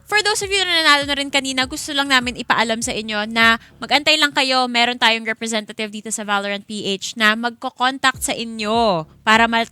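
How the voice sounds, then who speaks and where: A woman's voice: fairly high pitch, pushed and bright, smooth, dry recording. A woman with a Filipino accent co-hosting an esports livestream in a casual announcing style. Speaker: esports caster and co-host.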